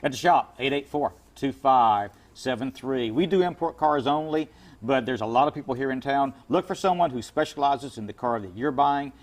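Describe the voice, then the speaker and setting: A man's voice: mid-range voice, normal speed. Male import car shop owner, guest on a TV chat, folksy and plain-spoken, repeats himself. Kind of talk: TV interview, giving advice.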